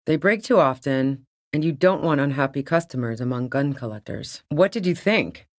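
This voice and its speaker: A woman's voice: rumbling low, expressive, normal speed, no echo, studio quality. A woman voicing a tough, cynical, streetwise smuggler on the run in a video game, with a blunt, sardonic manner. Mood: wry, guarded. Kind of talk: video game character dialogue.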